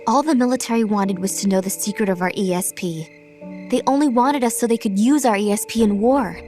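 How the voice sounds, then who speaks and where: A woman's voice: mid-range voice, fairly quick, darkish tone, soft background music, close to an everyday voice. A woman voicing a grave, idealistic young psychic soldier in a video game, earnest and solemn. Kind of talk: dramatic video game voice acting.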